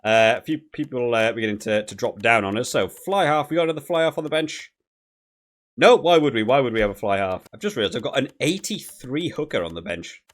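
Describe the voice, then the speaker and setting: A man giving casual, critical gameplay commentary, thinking aloud in a halting way and repeating words as he goes. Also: mid-range voice, expressive. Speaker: gaming video creator reviewing while playing.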